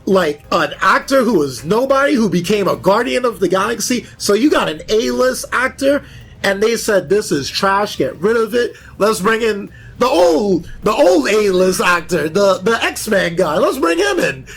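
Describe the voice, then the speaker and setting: High-voiced man chatting casually. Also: very animated, moderate pace, gravelly, fairly bright tone, breathy edge, soft background music. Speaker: panelist, video game fan. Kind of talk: casual chat.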